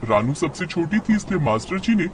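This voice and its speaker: Animated male storybook narrator. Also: on the high side, a little fast, slight background noise.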